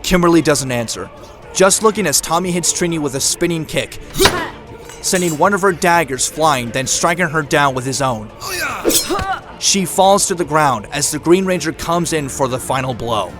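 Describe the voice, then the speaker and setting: Higher-pitched male action narrator over music. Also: animated, moderate pace. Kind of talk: scripted action-drama narration. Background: dramatic music.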